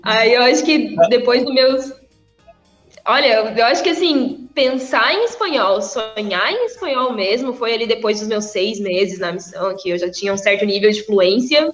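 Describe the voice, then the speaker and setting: A woman's voice: fairly high pitch, animated, moderate pace, fairly bright tone. A young woman and former missionary chatting casually in an interview, often laughing between phrases. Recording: echoey room, dull sound, faint music.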